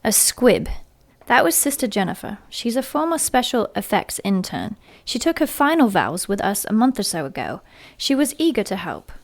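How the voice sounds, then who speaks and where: An actress playing a controlled, dangerous gun-toting nun in an audio drama, speaking in a terse, formal way. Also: female voice, mid-range voice, very animated, moderate pace. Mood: matter-of-fact.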